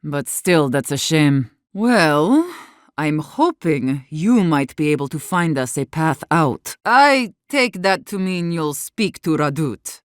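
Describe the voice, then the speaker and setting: A hard-bitten female warden leader for a video game. Her voice sits fairly low and moves at a slow, deliberate pace, recorded very dry. She is blunt and embittered, with a guarded, sharp-tongued way of speaking. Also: animated, dry recording. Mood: resigned, somber. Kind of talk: video game character dialogue.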